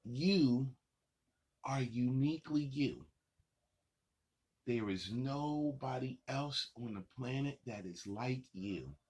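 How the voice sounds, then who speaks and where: A man hosting a children's story time, talking straight to young children in simple, repeated, encouraging phrases, with a gravelly, husky voice. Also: mid-range voice, expressive, takes it slowly, pauses often, a little mellow. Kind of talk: addressing young children directly.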